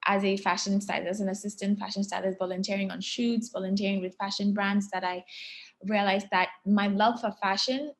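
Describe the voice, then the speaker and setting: A woman from Ghana in her thirties, a fashion stylist answering interview questions thoughtfully, with frequent fillers and soft laughs. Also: medium pitch, little pitch movement, a little fast.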